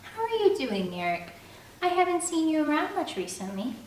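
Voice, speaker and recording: A woman voicing a sweet, earnest, devout teenage girl who talks in a bright, polite way. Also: high-pitched, very animated, normal speed, pitched above the performer's own voice. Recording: echoey room, distant mic, faint air-conditioner hum.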